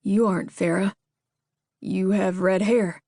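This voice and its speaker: A woman narrator puts on a tall, shaken ex-soldier cowboy from the American South, his drawl slow and halting. The voice is gravelly with fry, recorded close and dry with no room sound. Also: mid-range voice, steady tone, a little mellow, no room sound. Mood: dazed. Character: tall dazed male ex-army cowboy, stammering. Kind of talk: audiobook character voice.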